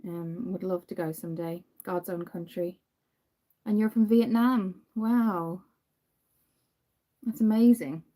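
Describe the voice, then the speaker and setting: A young Irish woman from Dublin chatting casually on a livestream while doing her makeup, greeting viewers by name and filling pauses with frequent ums. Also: lowish voice, dark tone. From Ireland.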